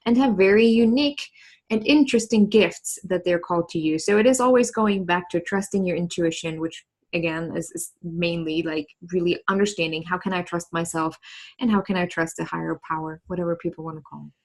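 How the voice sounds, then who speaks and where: A woman in her thirties from East Germany with a German accent, a podcast guest telling her story in a relaxed, earnest way and laughing easily. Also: on the low side, brisk. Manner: earnest and reflective, with frequent laughs and "like" fillers. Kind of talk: casual conversational storytelling.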